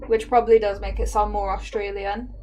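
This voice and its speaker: A woman's voice: medium pitch, flat delivery, brisk, darkish tone, soft background music. An English woman with a slight Welsh lilt, chatting casually and hesitantly as she paints on a livestream, sounding shy and self-conscious. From England. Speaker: live streamer painting on camera. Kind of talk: casual chat while painting.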